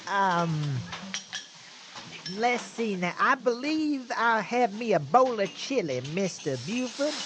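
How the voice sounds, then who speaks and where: An actor playing a cantankerous, folksy small-town mechanic with a rural Southern American accent in a comic radio drama. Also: male voice, sits high, lively intonation, slow pace. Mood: fussy, chatty. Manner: drawling hillbilly idioms, fussy.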